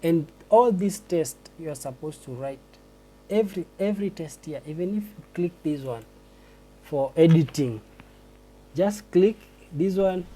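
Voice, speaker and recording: A man giving a screen-recorded tutorial on a transcription test, explaining slowly and hesitantly with many restarts. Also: fairly high pitch, expressive, warm tone, breathy edge, poor audio.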